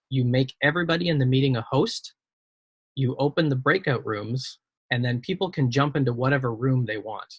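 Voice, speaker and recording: A man's voice: mid-range voice, slightly rough, dry recording. A man running an online meeting, explaining the next steps in a methodical, thinking-aloud way over a video call. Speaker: meeting facilitator. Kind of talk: casual online meeting talk.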